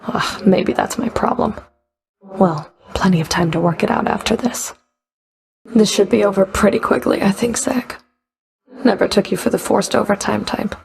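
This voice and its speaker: A woman's voice: medium pitch, moderate pace, creaky. A woman playing a stern, sardonic underworld Fury in a video game, cool, taunting, curt and commanding. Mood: sardonic, menacing. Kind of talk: video game character voice lines.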